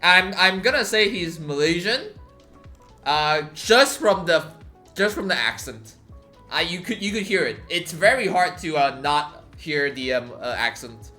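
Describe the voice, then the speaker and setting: A young man talks on a live stream in a rambling, offhand way, full of fillers and casual swearing. His voice is bright and slightly high for a man. Soft music plays underneath. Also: lively intonation, a little slow. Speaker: livestreamer.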